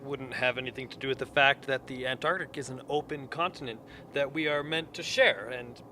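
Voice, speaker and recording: A man's voice: medium pitch, fairly quick, sharp tone, close to an everyday voice. A man playing a skeptical, needling expedition cook in a radio drama. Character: skeptical, needling male cook at polar base. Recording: faint background noise.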